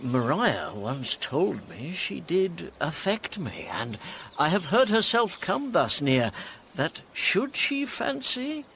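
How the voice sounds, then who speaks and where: A man's voice: fairly high pitch, big pitch swings, slow, gravelly, fairly bright tone, a little hiss or hum, heavily acted. An actor playing a pompous, self-deluded steward in a Shakespearean radio comedy, savouring his grand fantasies theatrically. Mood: smug musing.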